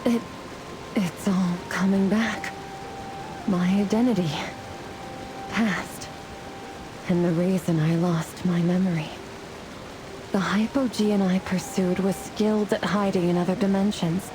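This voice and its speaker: A woman's voice: fairly low pitch, fairly even intonation, measured pace, long pauses, noisy background, close to an everyday voice. A woman playing a resolute celestial fire warrior in a fantasy game, speaking in a grave, formal, declamatory way. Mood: reflective. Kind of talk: scripted fantasy game voice acting. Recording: music and rain behind the voice. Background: music, rain.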